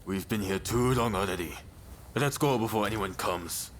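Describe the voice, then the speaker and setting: A man's voice: fairly low pitch, normal speed, a little hiss or hum, close to an everyday voice. A man playing a stern, ruthless anti-foreigner samurai rebel in a video game cutscene. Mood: cold, contemptuous.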